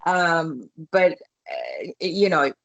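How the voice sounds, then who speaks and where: Calm woman with a low voice. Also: drawn-out words, vocal fry, dry recording. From born in Malaysia. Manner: calm, spiritual, speaks in second-language English with loose grammar. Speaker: skin expert interviewee. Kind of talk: reflective casual interview talk.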